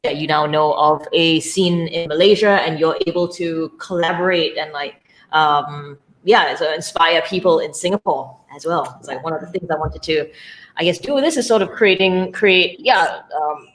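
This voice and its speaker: Female moderator, deep for a woman, soft hedging questions. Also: moderate pace, a little hiss or hum, low-quality recording. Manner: tentative, hedging with fillers. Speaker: host of the talk. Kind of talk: casual moderating.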